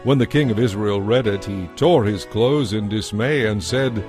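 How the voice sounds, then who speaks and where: A man's voice: lowish voice, normal speed, a little mellow, faint music behind. A man narrating a scripted, dramatized Bible story as its storyteller.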